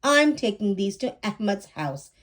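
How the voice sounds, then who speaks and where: A woman voicing an eager, excitable young boy in a children's storybook. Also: medium pitch, measured pace. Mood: let down. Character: eager young boy keen on good deeds.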